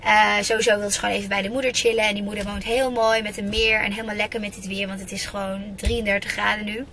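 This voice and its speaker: A woman in her twenties from the Netherlands chatting in a casual vlog, bubbly and excitable, filmed on a handheld camera at arm's length. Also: mid-range voice, fast talker, a little hiss or hum. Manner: bubbly, excitable, laughs often. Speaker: vlogger. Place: small car, garden outdoors, living room.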